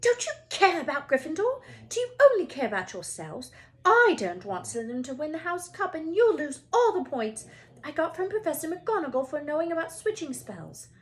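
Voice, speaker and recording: Woman acting out bossy, shrill, high-pitched schoolgirl. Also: big pitch swings, normal speed. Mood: indignant scolding. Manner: scolding, insistent.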